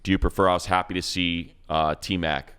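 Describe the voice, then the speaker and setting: A man with an extremely low, smooth and resonant voice talks football in a casual co-host chat. He rambles in an animated way, reels off long strings of player names and often restarts his sentences. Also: normal speed. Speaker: co-host, team radio play-by-play announcer.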